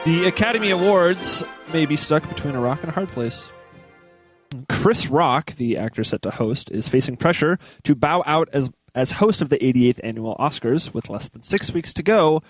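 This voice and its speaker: Man reading news, mid-pitched and dry. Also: dry recording. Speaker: news reader on a talk show.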